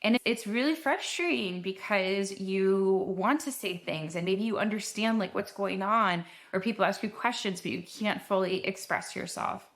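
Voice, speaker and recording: A woman's voice: mid-range voice, normal speed, few pauses. A young woman introducing her podcast episode in an earnest, conversational way, sometimes restarting her sentences. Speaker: podcast host.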